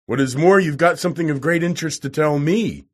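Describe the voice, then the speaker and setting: A man's voice: on the high side, brisk, pitched above the performer's own voice, natural voice. A man voicing a hot-tempered, arrogant professor in an audiobook, bellowing and mocking as he challenges people. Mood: booming, mocking. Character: huge, bearded, roaring, hot-tempered, arrogant male professor. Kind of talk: audiobook character voice.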